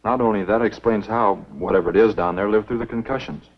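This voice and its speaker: 1950s film lawman, American, low husky voice, matter-of-fact, earnest, muffled old recording. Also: fast. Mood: earnest, reasoning. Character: earnest, duty-bound lawman, reasoning things out. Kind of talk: 1950s B-movie film acting.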